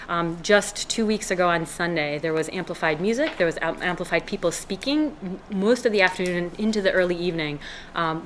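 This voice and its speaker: A woman's voice: fairly low pitch, a bit bright, slight background noise. A woman from Israel, a resident speaking at a town hearing, earnest and hesitant with many fillers and restarts. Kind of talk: public comment at a town hearing. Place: town meeting room.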